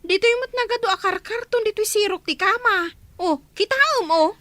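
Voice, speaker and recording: Female, high, forceful and closely dry-recorded: caring, fussing Ilocano daughter in radio comedy. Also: a little fast, dry recording. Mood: alarmed. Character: fussing, caring eldest daughter visiting her village mother.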